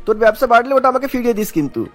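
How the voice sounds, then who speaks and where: A man's voice: extremely high pitch, sing-song, rapid-fire, faint music behind. A man playing a bossy, calculating elder brother in a cartoon, instructing insistently like a businessman. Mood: scheming. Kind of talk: Bengali cartoon voice acting.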